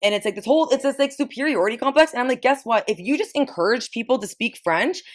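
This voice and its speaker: A woman's voice: fairly high pitch, animated, quick pace. A woman in her twenties from Ontario, a podcast guest chatting casually, frank and profane, often restarting her sentences. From Ontario, Canada. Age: 20s. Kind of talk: casual chat.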